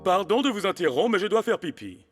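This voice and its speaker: High-voiced, fretful cartoon king. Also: sing-song, fairly quick, big-room sound, soft background music. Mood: nervously polite. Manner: fretful, courtly. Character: anxious, excitable king, dependent on his servant. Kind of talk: French cartoon dub acting.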